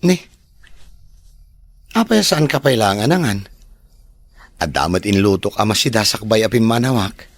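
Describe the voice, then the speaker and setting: An actor playing a calm, patient working husband in an Ilocano radio drama. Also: male voice, lowish voice, normal speed, long pauses, dry recording. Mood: reassuring.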